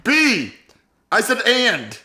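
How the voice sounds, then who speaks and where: A man's voice: very high voice, huge pitch swings, extremely slow, sharp tone. A man co-hosting an improv comedy podcast in a casual, bantering, conversational way. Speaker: podcast co-host.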